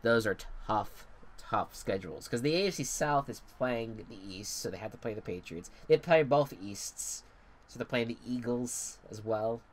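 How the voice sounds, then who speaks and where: A man's voice: medium pitch, expressive, normal speed. A congested young man rambling and swearing casually while commentating a football video game. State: congested, stuffy nose. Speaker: gaming commentator.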